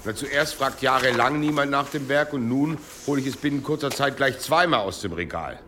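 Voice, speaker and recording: An actor playing a proud, musing monk who is librarian and copyist, in a German medieval audio drama. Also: male voice, mid-range voice, a little fast, background noise, lightly acted. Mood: musing, matter-of-fact.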